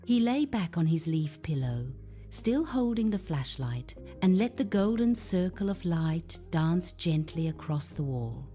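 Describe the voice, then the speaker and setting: A woman narrates a soothing children's bedtime story with a mellow, relaxed tone. She takes her time, letting the pitch rise and fall expressively in a gentle, hushed delivery. Music plays beneath her voice.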